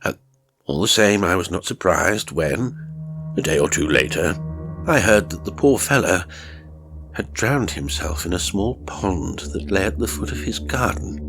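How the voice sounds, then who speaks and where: Deep-voiced man narrating a horror story. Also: lively intonation, measured pace, music in the background. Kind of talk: dramatic first-person horror story narration.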